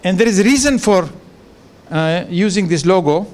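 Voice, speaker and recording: An older man whose first language is Gujarati gives a relaxed, joking guest lecture into a handheld microphone in a large lecture room, with many fillers and restarts. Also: sits high, normal speed. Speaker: professor giving a guest lecture. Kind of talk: informal lecture with asides.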